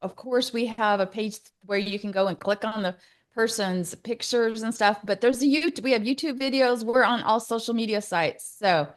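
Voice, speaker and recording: A woman's voice: fairly high pitch, moderate pace. A woman co-hosting a casual livestream, talking in informal, loosely strung phrases. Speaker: co-host.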